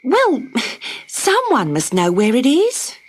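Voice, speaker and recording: A woman's voice: mid-range voice, sing-song, normal speed, slightly rough, voice pushed up. A woman voicing a small, curious girl who speaks eagerly and earnestly. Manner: eager, earnest, wide-eyed. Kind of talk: storybook character voice.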